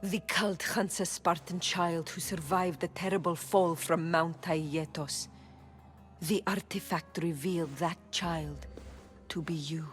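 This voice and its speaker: A troubled oracle of ancient Greece, her voice on the low side. She speaks with solemn, guarded deliberation, like someone confessing in a game drama, with music underneath. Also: steady tone, slow. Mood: grave. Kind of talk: dramatic video game acting.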